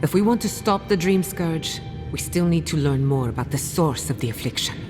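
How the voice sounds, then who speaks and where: Loud music sits behind a low female voice in a reverberant space. a confident scholar-adventurer in a fantasy game, articulate, wry and keen to explain. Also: lively intonation, normal speed. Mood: intrigued. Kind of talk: scripted video game acting.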